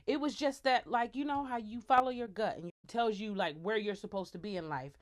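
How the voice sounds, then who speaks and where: A young American woman telling stories casually to her viewers, chatty and animated, full of slang and asides. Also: mid-range voice, a little fast. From United States. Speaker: vlogger, singer. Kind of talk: casual storytelling to camera.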